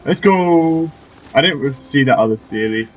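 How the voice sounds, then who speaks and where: A man's voice: fairly high pitch, expressive, measured pace. A man giving casual commentary as he plays a game, talking to himself and to the game. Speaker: gamer playing through a quest. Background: faint game music.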